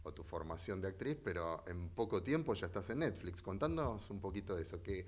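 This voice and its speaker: A man's voice: low-pitched, animated, fairly quick, darkish tone. A man co-hosting a casual interview, prompting his guest conversationally and often checking with short tag questions. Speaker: interview show host.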